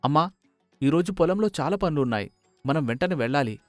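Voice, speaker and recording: Man acting male crow son, cartoon, hardworking, earnest, animated pitch, dry, music behind. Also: brisk, no room sound, natural voice. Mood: busy. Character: hardworking, weary adult crow son, good-natured.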